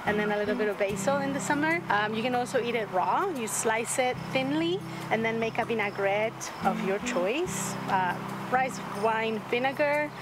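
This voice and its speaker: A woman's voice: a little high, expressive, moderate pace, noisy background. Woman explaining things in a garden. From Colombia. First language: Spanish. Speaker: guest teaching Spanish. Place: outdoor community garden.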